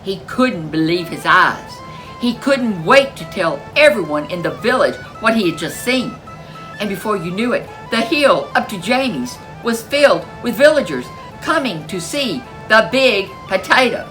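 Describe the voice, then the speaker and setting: A woman reading a folk tale aloud as a storyteller, expressive and animated. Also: mid-range voice, unhurried, soft background music, rough recording. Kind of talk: storybook read-aloud narration.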